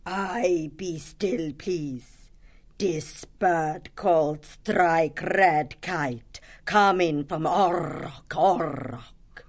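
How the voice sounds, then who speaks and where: A woman's voice: low voice, extremely slow, heavily acted. A woman voicing a proud, injured female red kite who talks in a strange accent and clipped, broken phrases. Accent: strange foreign-sounding bird accent. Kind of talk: audiobook character voice.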